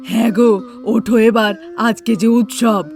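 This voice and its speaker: A woman's voice: fairly high pitch, little pitch movement, normal speed, darkish tone, faint music behind, lightly acted. A woman playing a bossy, nagging elderly mother-in-law and grandmother in a cartoon, with a scolding, insistent manner. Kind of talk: Bengali cartoon dialogue.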